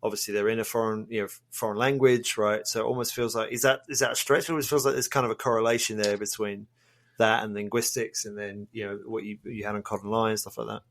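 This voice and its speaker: A man's voice: on the low side, little pitch movement, quick pace, fairly bright tone. A man hosting a podcast, asking long hesitant rambling questions in casual interview talk. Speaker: podcast host.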